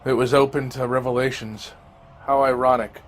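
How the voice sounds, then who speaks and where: A man reading a scripted first-person horror story as an audio drama narrator, grave and dramatic with deliberate phrasing. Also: medium pitch, level tone, a little hiss or hum. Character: male survivor recounting a zombie fungus apocalypse. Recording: amateur narration over music. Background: music.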